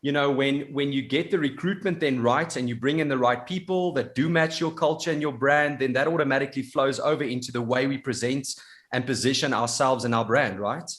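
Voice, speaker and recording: A man's voice: mid-range voice, brisk, fairly bright tone. A man hosting a podcast interview, enthusiastic and full of praise for his guest. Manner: enthusiastic, effusive. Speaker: podcast host.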